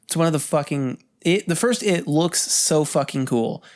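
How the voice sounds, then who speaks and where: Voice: mid-range voice, expressive, moderate pace, darkish tone. A podcast co-host chatting casually about movie news, swearing freely and often.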